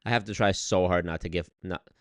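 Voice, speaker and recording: A man telling a story on his livestream in an animated, exasperated way, swearing often and reading chat. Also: sits low, brisk, no room sound. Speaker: streamer. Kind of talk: casual livestream rant.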